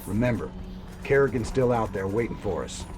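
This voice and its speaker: An actor playing an earnest, weary but determined rebel commander in a video game. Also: male voice, fairly low pitch, animated, brisk, music in the background, close to an everyday voice.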